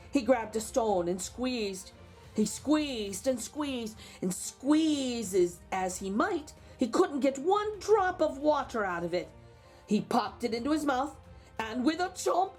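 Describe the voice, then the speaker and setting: A woman's voice: a little high, a little mellow. A woman storytime host narrating a picture book expressively, with chatty asides to young listeners. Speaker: storytime host reading aloud to children.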